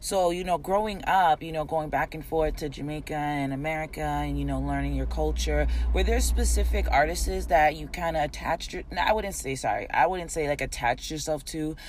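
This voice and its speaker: A woman's voice: low voice, moderate pace, hardly any pauses, darkish tone, slight background noise. A woman hosting a podcast, upbeat and chatty, asking long rambling questions full of fillers. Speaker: podcast host. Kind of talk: casual podcast interview.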